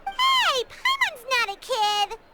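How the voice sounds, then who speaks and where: Squeaky fairy sidekick. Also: female voice, huge pitch swings, slow, full character voice. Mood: indignant. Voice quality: squeaky, refers to herself by name. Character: small floating female fairy companion, chirpy and nosy. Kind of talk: game dialogue. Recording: game voice line over loud music. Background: game soundtrack music.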